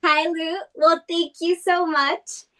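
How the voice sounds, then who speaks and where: A woman's voice: very high voice, level tone, takes it slowly, a bit bright, far from the mic. A woman from Colombia who was raised in Boston, guesting on a podcast and telling her personal story earnestly, with many fillers.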